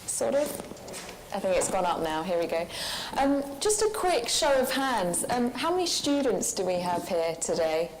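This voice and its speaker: Soft-spoken woman moderating a talk. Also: mid-range voice, big pitch swings, moderate pace, a little hiss or hum, poor audio. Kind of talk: moderating a lecture Q and A. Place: lecture hall with live audience.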